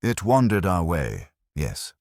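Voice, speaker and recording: A man voicing a courteous, mild police inspector in an audio mystery story, gentle, formal and understated. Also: low voice, huge pitch swings, takes it slowly, no room sound, studio quality, exaggerated voice. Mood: mildly formal.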